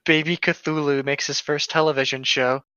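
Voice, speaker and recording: A man's voice: on the high side, little pitch movement, moderate pace, bright, forceful tone, clean tone, dry recording. A man in casual, wisecracking banter as a podcast co-host, on a slightly dull microphone. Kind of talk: casual chat, joking banter.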